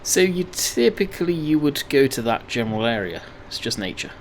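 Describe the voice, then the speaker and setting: Man, slightly hoarse, casual game commentary, mid pitch, rambling, faint engine hum behind. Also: big pitch swings, fairly quick. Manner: rambling, chatty, self-correcting. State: slightly croaky voice. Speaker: gaming video host.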